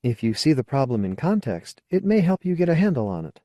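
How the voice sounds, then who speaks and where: Male narrator as persuasive woman, audiobook dialogue, earnest, plain-spoken, very varied pitch, dry sound. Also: very animated, brisk, dark tone, no echo, lightly acted. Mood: pleading, persuasive. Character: frank, persuasive woman colonist, old friend.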